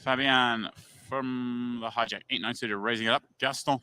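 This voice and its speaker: Bright-voiced poker play-by-play man. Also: medium pitch, steady tone, clear, resonant tone. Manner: brisk, calls the action, acts out players' reactions. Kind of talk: live play-by-play commentary.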